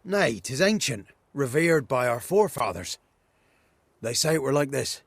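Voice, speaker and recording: A man's voice: medium pitch, very animated, normal speed, no room sound. An actor playing a humble, superstitious male villager in a video game, speaking earnest rustic dialect. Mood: solemn. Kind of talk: video game character acting.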